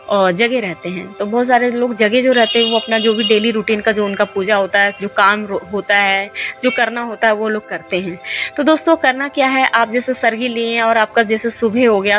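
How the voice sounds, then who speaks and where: A hoarse woman who is a little unwell, hosting a devotional channel and chatting her way through ritual steps, repeating herself and calling viewers her friends. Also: mid-range voice. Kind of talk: casual spoken ritual guide.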